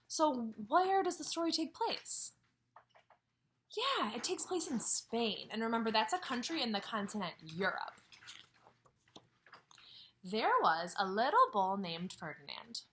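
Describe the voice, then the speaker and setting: Bright lively teacher lady reading to little kids from home, voice bouncing all over. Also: a little high, a little hiss or hum. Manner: animated, asks questions and answers them herself. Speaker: kindergarten teacher. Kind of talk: read-aloud lesson for young children.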